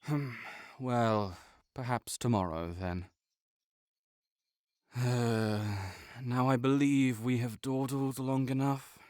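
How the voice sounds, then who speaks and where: A man playing a sickly, proud and melancholy nobleman in an intimate audio roleplay, speaking formally with measured, old-fashioned phrasing. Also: mid-range voice, steady tone, a little mellow, clear, resonant tone, no room sound, studio quality, lightly acted. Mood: dry, wistful, then dry, mildly testy.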